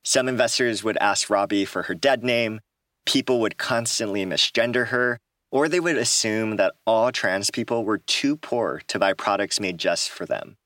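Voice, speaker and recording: A man hosting a podcast, reading scripted narration in a clear, measured way. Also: on the low side, fairly bright tone, dry recording, clean studio sound. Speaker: podcast host.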